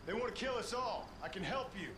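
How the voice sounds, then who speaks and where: A man's voice: high-pitched, lively intonation, fairly quick, crowd noise. A man playing an earnest, guarded Old West gunslinger and former outlaw in a video game. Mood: pleading, urgent. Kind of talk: video game cutscene acting.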